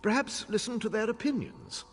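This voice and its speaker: Imperious ancient elf leader, video game, formal, very animated, long hall reverb. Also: male voice, high voice, slightly rough, full character voice. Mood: resolute. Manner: commanding, formal, deliberate.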